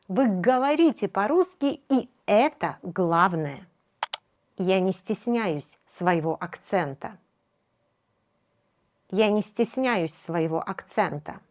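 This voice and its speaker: A woman teaching a language lesson, speaking slowly and clearly and saying each practice phrase twice with patient encouragement. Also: on the low side, slight background noise. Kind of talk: slow, clear shadowing lesson.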